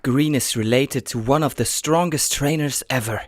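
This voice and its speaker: A man's voice: medium pitch, moderate pace, heavy background noise, lightly acted. A man voicing a timid, self-doubting boy trainer in a manga dub, hesitant and introspective. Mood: reflective. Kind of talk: manga dub narration and dialogue.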